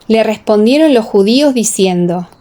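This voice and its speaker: A woman narrating a dramatized scripture audiobook in a solemn, measured, carefully enunciated way, with heavy reverberation. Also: mid-range voice, lively intonation, mellow. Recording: echoing, long reverb.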